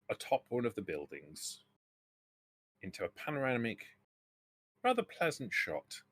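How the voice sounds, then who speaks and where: A man working as game master, setting scenes in measured tabletop roleplaying narration. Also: low-pitched, husky, dry recording.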